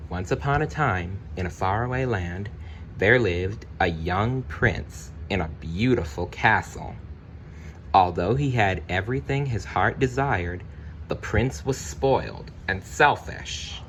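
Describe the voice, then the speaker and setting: A man reading a children's story aloud, with expressive, deliberate narration and the odd personal aside. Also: lowish voice, slight background noise, poor audio. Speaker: storybook reader.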